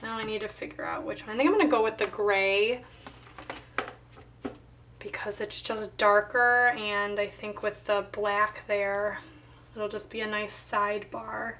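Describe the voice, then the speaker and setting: A woman with a slightly hoarse voice, casually narrating her craft work and thinking aloud as she goes. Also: medium pitch, fairly even intonation, fairly bright tone, far from the mic. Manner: unhurried thinking aloud while working. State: slightly hoarse, voice not recovered. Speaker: planner vlogger.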